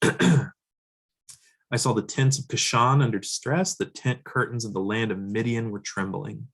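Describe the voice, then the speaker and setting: A male pastor who is losing his voice, teaching verse by verse in a measured, explanatory way as he reads scripture aloud and unpacks it. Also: medium pitch, gravelly, fairly bright tone, slightly rough.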